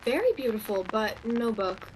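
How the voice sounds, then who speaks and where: A woman's voice: a little high, big pitch swings, moderate pace, background noise. A woman playing a kindly, inquisitive shop coworker and confidant in a radio play, with a soothing manner. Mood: watchful, curious. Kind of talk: virtual radio play acting.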